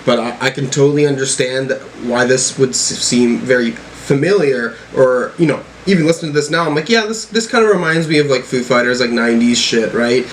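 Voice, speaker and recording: A man's voice: mid-range voice, fairly quick, hardly any pauses, low-quality recording. Rambling man reviewing music, audible background noise. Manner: rambling, profane, frequently checking with "right?" and "you know". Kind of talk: casual album reaction commentary.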